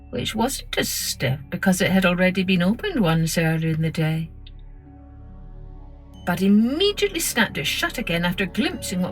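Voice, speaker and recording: A woman narrating a scripted children's story in a measured, expressive storytelling manner. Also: lowish voice. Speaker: storytelling podcast host.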